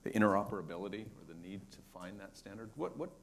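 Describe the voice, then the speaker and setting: A man's voice: on the low side, animated, fast. A man moderating a conference panel discussion, posing questions casually and searching for words as he goes.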